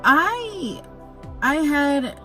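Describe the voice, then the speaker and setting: A young woman who grew up in Jamaica, telling a personal story in a chatty, animated vlog with jokey asides. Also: high voice, very slow, faint music behind. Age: young adult. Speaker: vlogger.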